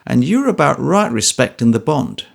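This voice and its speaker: A man's voice: mid-range voice, big pitch swings, normal speed, vocal fry, exaggerated voice. A man voicing a big, composed ex-soldier with blunt, dry speech.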